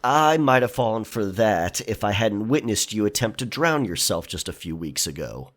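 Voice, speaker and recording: Man acting a low-voiced, politely menacing pirate. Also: sing-song, fairly bright tone. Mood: dry, insistent. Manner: measured, dry, politely threatening. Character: roguish male pirate, politely menacing, protective, dryly teasing. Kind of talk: audio drama acting.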